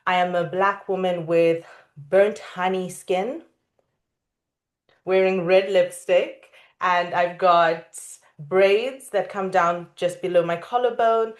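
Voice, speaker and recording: Earnest low-voiced woman on a video call. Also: fairly even intonation, slow. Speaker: yoga teacher, panel guest. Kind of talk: online panel introduction. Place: seated indoors in a room. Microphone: video call, connection breaking up.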